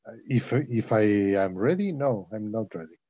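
A man's voice: fairly low pitch, very animated, moderate pace, warm tone, slightly rough, no room sound. A man whose first language is Spanish, hesitantly speaking English in an online class, repeating words as he goes. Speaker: student in an English conversation class. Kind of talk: halting English in a video-call class. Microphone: video call. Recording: dull, lo-fi call audio.

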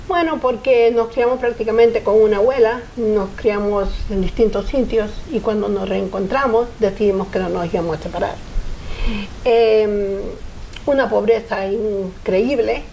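A woman's voice: medium pitch, unhurried, gravelly, mellow, slight background noise, poor audio. An older woman from Honduras, a guest in a TV studio interview, speaking earnestly in short reflective phrases. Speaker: interview guest, foundation founder. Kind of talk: personal interview. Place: TV studio set.

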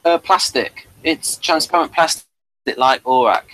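A man's voice: a little high, unhurried, fairly bright tone, low-quality recording. Rambling man chatting casually. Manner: rambling, explanatory. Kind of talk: casual review chat.

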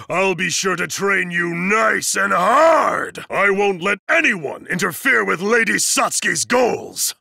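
An actor playing a huge, stern, fiercely loyal student enforcer in an anime fighting game, bellowing grandiose declarations. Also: male voice, sits high, gravelly, sharp tone, no echo, studio quality, heavily acted. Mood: stern, resolute.

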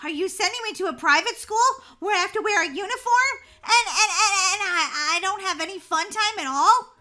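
A woman's voice: very high voice, a little fast, pushed and bright. A woman voicing a whiny, dramatic schoolgirl who pleads and exclaims in an acted family story. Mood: pleading.

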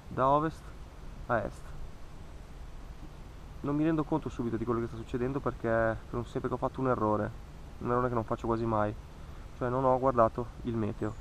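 A man's voice: medium pitch, vocal fry, a little hiss or hum, poor audio. A man in his thirties narrating a fishing vlog in an earnest, cautionary way, repeating words for emphasis. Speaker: fishing vlogger. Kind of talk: reflective voice-over narration. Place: outdoors by a lake among trees.

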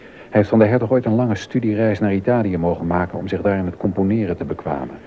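A man's voice: fairly low pitch, fairly even intonation, fast, close to an everyday voice. An actor playing a proud, aggrieved German baroque composer and court organist in a Dutch docudrama, indignant and wry as he tells his grievances. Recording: dull sound with background noise.

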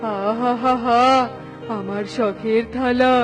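A man's voice: extremely high pitch, fairly even intonation, slow pace, music in the background. A man voicing a selfish, greedy male bird in a children's cartoon, scolding and boastful. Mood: gloating.

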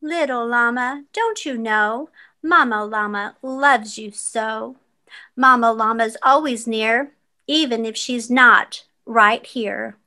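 A woman reading a children's picture book aloud with expressive, dramatic emphasis for young listeners. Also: fairly high pitch, takes it slowly. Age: adult.

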